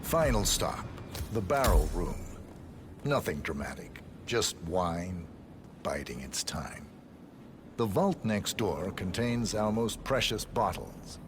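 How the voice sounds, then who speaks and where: An actor playing a measured, understated guide in a video game, dry and deliberate. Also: male voice, low-pitched, sing-song, heavily acted. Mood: dryly genial. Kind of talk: scripted video game acting. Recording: noisy game ambience behind.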